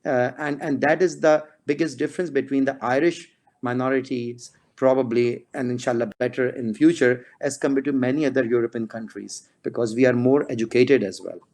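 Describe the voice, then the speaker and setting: A man's voice: mid-range voice, fairly even intonation, fairly quick, darkish tone. Hesitant middle-aged man, rambling. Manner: rambling, hesitant, many "uh" and restarts. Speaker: doctor, panel guest. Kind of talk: live community discussion.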